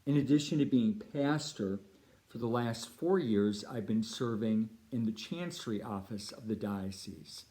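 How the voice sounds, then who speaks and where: A middle-aged man with a Californian American accent, a priest giving a prepared appeal talk in measured, deliberate phrases. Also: lowish voice, mellow. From United States (Chicago and Southern California).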